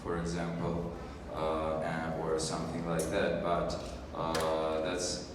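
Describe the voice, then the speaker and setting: A very low-voiced man with as his talks haltingly in English, searching for words with frequent fillers. He speaks slowly and flatly. He is heard from a distance in a roomy, reverberant hall with noticeable background noise. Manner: halting, many fillers and restarts. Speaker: panel speaker. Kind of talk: hesitant conversational discussion in English.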